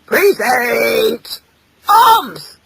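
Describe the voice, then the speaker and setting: A woman's voice: on the low side, big pitch swings, very slow, dry recording, heavily acted. A woman voicing a stern, angry octopus father who barks and growls commands in a children's story. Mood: barking.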